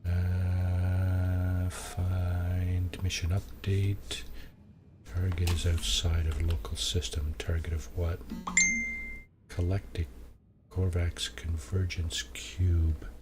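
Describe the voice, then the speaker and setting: A man with a very deep voice and little melody talks casually through his game. He sounds sniffly, rambles and mutters to himself, often trailing off. Clearly audible room noise and typing sit behind him. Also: completely flat delivery, drawn-out words. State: sniffly with a cold or allergies. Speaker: game streamer. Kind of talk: casual gameplay commentary, reading game text aloud. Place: indoors at home, at a computer. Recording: noisy room with keyboard clatter.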